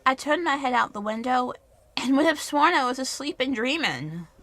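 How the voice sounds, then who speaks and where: Chatty country farm lady, high bright voice, swoops all over, old radio play. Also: animated, moderate pace, crowd noise. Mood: excited recounting. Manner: rustic, rambling, folksy speech. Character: folksy, rambling farm woman, unruffled and chatty. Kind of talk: 1930s radio play acting.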